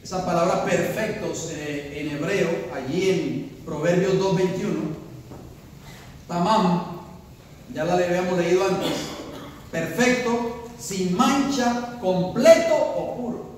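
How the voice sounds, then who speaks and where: A man preaches a sermon, his voice ringing through a large hall with long reverb. He sits high in pitch and heard from a distance, swelling to emphatic peaks and quizzing his listeners. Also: slow, a little hiss or hum. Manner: preaching with rising emphasis, asks the congregation questions. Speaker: pastor. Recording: echoey hall, voice from far off.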